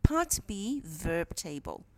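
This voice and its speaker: A woman reading a dictation exercise as a teacher, in a deliberate, enunciated way with pauses between phrases. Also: lowish voice, big pitch swings, dark tone. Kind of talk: slow scripted dictation read-aloud.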